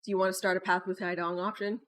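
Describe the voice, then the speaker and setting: A woman reading a visual novel aloud with casual, playful, wry asides, in an expressive manner. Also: on the low side, fast. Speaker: gaming video host. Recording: background music behind the voice. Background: music.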